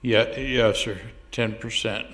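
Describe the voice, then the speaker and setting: A man's voice: on the low side, steady tone, slow, fairly bright tone. A man playing a sheepish churchgoer who stammers out excuses to God on the phone. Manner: stammering, hesitant, with many restarts. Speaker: church speaker. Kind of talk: comic phone-call monologue.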